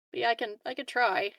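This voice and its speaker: A woman's voice: on the high side, creaky, fairly bright tone, no room sound, low-quality recording. A woman talking casually and hesitantly as a player in a tabletop game.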